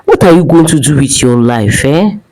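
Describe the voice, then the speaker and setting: A woman's voice: low-pitched, lively intonation, moderate pace, dark tone. A woman voicing an earnest, responsible soldier, a caring brother in a dramatic folktale. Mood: earnest urging. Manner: earnest, urging.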